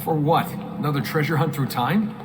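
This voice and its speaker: An actor playing a reluctant young recruit in a video game, speaking in a casual, questioning, sardonic way. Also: male voice, fairly high pitch, moderate pace, close to an everyday voice. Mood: wary, sardonic.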